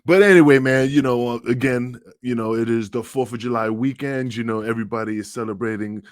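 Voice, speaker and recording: A man's voice: medium pitch, a little fast, no room sound, clean studio sound. A man from the United States hosting a casual podcast, talking loosely with lots of fillers and hyping up his guest. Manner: hype-man intro, loose and chatty with many fillers. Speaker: podcast host, former wrestler.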